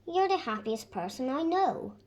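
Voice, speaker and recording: A woman's voice: sits high, big pitch swings, normal speed, a little mellow, voice pushed up. A woman voicing a cheerful, kindly male storybook character who is chatty and encouraging. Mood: anxious, surprised.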